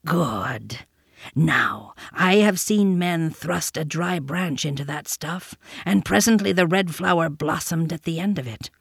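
A storybook reader voicing a male panther who speaks in a hushed, conspiratorial whisper. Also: medium pitch, moderate pace, vocal fry, hardly any pauses, slightly rough, no echo, clean studio sound. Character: sly protective male panther.

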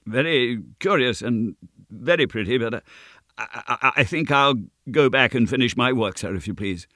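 A man's voice: mid-range voice, expressive, normal speed, pushed and bright, no echo, clean studio sound, voice pushed up. A man voicing a frightened, deferential sexton in a storybook reading, stammering and hesitant. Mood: terrified, pleading. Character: terrified, timid, deferential male sexton.

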